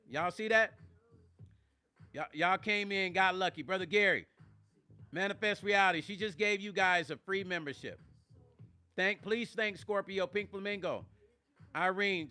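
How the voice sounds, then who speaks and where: A man in his fifties hosting a casual livestream, reading viewer comments and talking straight to his audience in an animated, preachy way. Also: sits high. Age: 50s. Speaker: vlog host reading live chat.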